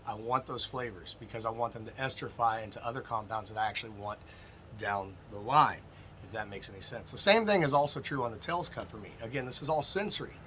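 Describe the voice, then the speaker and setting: A man with a slightly froggy, hoarse voice gives informal, emphatic instruction as a distilling teacher talking to viewers. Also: medium pitch, fairly quick, pushed and bright, slight background noise, low-quality recording. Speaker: distilling instructor and video host. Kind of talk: informal instructional talk to camera.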